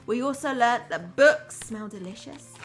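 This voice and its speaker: Female storyteller, primary-teacher style: unhurried, expressive read-aloud with simple asides for little kids, soft music underneath. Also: a little high. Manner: expressive, addresses young children simply.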